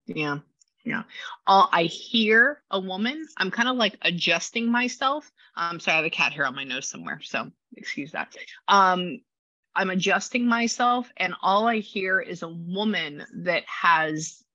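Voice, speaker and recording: Low slightly raspy woman medium. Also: lively intonation, a little slow, pushed and bright, dry recording, highs cut off. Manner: chatty, relays spirit messages in quotes. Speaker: psychic medium. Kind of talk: spirit reading session.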